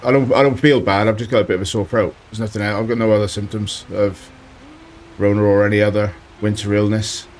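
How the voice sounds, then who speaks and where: A man with a slight sore throat giving relaxed commentary while playing a driving game, thinking aloud as he goes. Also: fairly low pitch, brisk, a little hiss or hum. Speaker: let's play host. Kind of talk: casual gameplay commentary.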